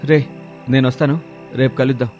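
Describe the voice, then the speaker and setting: A man's voice: mid-range voice, fairly even intonation, fairly quick, a little mellow, natural voice. Man acting blunt drunken husband over music. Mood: weary refusal. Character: gruff drunken husband, defensive, later remorseful. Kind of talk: Telugu moral story dialogue.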